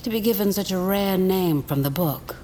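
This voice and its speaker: A woman playing a menacing vulture interrogator in an audio drama, speaking deliberately and theatrically with cold threat. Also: mid-range voice, warm tone, slight background noise, lightly acted. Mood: cold, probing. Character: menacing vulture lord, interrogator and assassin hunter.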